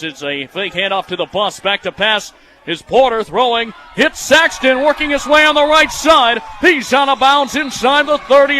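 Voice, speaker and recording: A young American man in his twenties doing live football radio play-by-play, excitable and quick to raise his voice on plays. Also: high-pitched, slight background noise. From United States, Michigan. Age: 20s.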